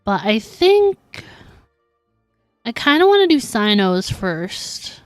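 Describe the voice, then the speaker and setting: A woman's voice: mid-range voice, big pitch swings, takes it slowly, no room sound, soft background music. A woman livestreaming a game, chatting casually and informally with her viewers. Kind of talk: casual chat while streaming gameplay.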